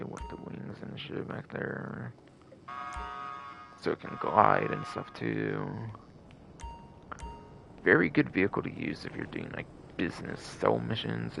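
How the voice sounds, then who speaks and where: A man's voice: on the low side, vocal fry, poor audio. A man giving casual live game stream commentary in a rambling, repetitive way full of filler words, with some casual swearing.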